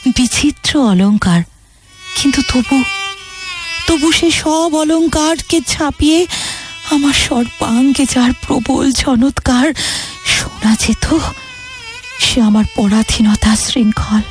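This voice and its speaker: A woman's voice: high-pitched, slow, pauses often, soft background music. A woman playing a wounded, loving Mother India in a theatrical audio drama, with an emotive, declamatory delivery. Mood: pained remembrance.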